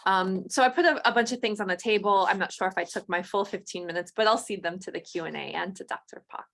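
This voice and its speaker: Female panelist, gravelly, measured analysis, frequent fillers. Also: mid-range voice, a bit bright. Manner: measured, frequent um and you know. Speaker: webinar panelist. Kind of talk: analytical remarks.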